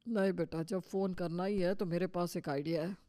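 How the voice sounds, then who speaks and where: A man's voice: sits high, fairly even intonation, moderate pace, warm tone, dry recording, lightly acted. Cartoon role: henpecked old father-in-law played by a man, resigned, worried, dry close recording. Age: older. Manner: resigned, complaining. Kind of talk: Hindi cartoon story dialogue.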